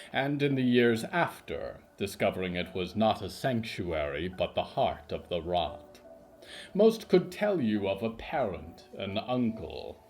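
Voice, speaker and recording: Slow, expressive male story narrator. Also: on the low side, slight background noise. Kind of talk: scripted atmospheric story narration.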